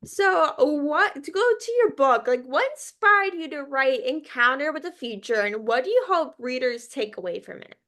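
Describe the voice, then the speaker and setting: A woman's voice: sits high, lively intonation, normal speed, few pauses, fairly bright tone. A young adult woman hosting a podcast interview, talking casually and ramblingly with frequent fillers like "like". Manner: casual, heavy use of "like", rambling. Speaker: podcast host.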